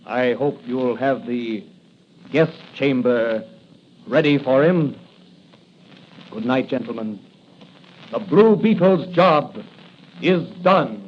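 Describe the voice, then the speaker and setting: An actor playing a bold, commanding masked crime-fighter with declamatory delivery in a 1940s radio drama. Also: male voice, medium pitch, slow, long pauses, close to an everyday voice. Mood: triumphant. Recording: noisy, muffled 1940s radio recording.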